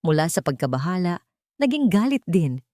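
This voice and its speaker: A woman's voice: fairly low pitch, very animated, normal speed, warm tone, dry recording, studio quality. A woman narrating a scripted dramatic romance story in an emotive storytelling manner.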